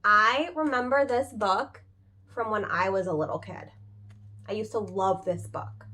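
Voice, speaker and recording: A woman reading a picture book aloud to young children as a preschool teacher, expressive and speaking to them directly with questions. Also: mid-range voice, unhurried.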